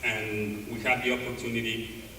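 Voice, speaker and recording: A man's voice: mid-range voice, little pitch movement, moderate pace, bright, forceful tone. A man giving a conference talk, full of fillers and restarts. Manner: hesitant, many fillers and restarts. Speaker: conference speaker. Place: large conference hall. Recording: echoey hall, distant mic, faint noise.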